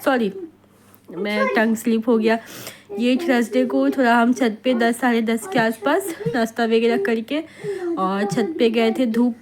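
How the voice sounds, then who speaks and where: A hoarse woman with a slightly high voice narrates her daily home vlog. She is in her thirties and talks in a loose, chatty way, wandering from point to point and mixing in English words as she goes. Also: steady tone, a little slow, a crowd in the background. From India. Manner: chatty, rambling, mixes English words into Hindi. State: hoarse with a cold. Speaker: vlogger. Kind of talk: casual voice-over narration.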